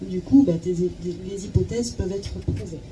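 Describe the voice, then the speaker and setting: A woman's voice: on the low side, normal speed, dark tone, low-quality recording. A woman interpreting informally phrase by phrase, hesitant, searching for words and repeating herself. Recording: clear background noise with chirping insects.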